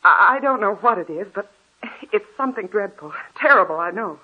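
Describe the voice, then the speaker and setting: An actress playing an anxious young wife in a 1940s radio drama, earnest and pleading. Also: female voice, mid-range voice, lively intonation, fairly quick, husky. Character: anxious young married woman, devoted wife. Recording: muffled old radio recording.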